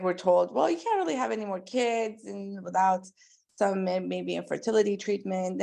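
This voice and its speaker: A woman's voice: medium pitch, vocal fry. A woman in her forties, born and raised in Iran, a pediatric dentist chatting as a podcast guest with lots of likes and ums. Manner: chatty and animated, frequent "like" and "um". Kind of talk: casual interview storytelling.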